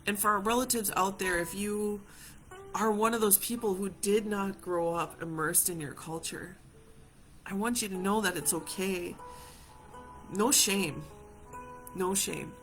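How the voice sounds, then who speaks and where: A woman from Hawaii leading a reflective live session as a community healer, speaking gently and reassuringly to viewers and repeating phrases for emphasis. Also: medium pitch, a little slow, long pauses, faint music behind.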